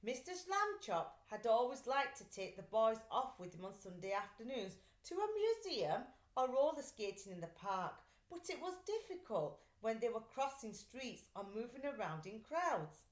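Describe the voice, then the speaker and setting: A woman reading a children's story aloud like a teacher, with deliberate, clear phrasing and expression. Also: on the high side, big pitch swings. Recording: echoey room.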